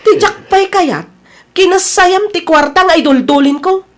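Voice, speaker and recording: Lady doing a strict filipino big sister in a drama, high voice, fed up with her brother. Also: a little slow. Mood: angry, scolding. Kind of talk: Ilocano radio-style family drama acting.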